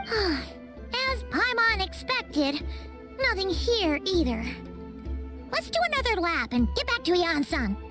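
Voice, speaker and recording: A woman voicing a tiny, childlike, chatty and opinionated fairy companion in a video game, with a squeaky way of talking. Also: big pitch swings, slow, music in the background, heavily acted. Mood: matter-of-fact. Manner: refers to self in third person, squeaky.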